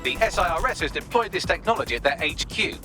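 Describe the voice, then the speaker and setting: Witty AI hacker assistant for a video game: sardonic, breezy, lively intonation, music underneath. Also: male voice, sits high, quick pace. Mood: sarcastic, brisk. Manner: dry sarcasm, breezy and wordy. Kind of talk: scripted video game dialogue.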